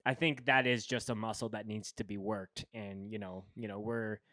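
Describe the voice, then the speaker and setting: A man's voice: fairly low pitch, little pitch movement, normal speed, bright, forceful tone, no echo, clean studio sound. A man hosting a podcast, chatting casually with a guest and often restarting his sentences. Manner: rambling, many restarts and fillers. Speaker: podcast host. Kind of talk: casual chat.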